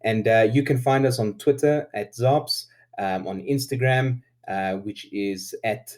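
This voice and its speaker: A South African man in his thirties whose first language is Afrikaans talks easily as a podcast guest. He is relaxed and laughs often, with plenty of ums as he explains himself. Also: fairly low pitch, a little slow. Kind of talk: casual interview chat.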